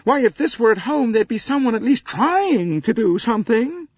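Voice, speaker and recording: A man voicing a loud, indignant middle-aged American woman passenger who wails and complains, in an audiobook. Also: extremely high pitch, very animated, moderate pace, no room sound, muffled recording, voice pushed up, exaggerated voice. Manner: wailing, complaining.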